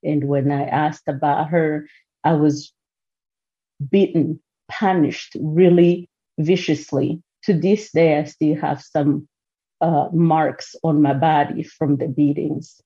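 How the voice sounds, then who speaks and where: Low, husky woman. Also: steady tone, mellow. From Rwanda. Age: 40s. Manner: earnest, measured, with pauses. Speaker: podcast guest. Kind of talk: personal testimony. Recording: muffled lo-fi recording.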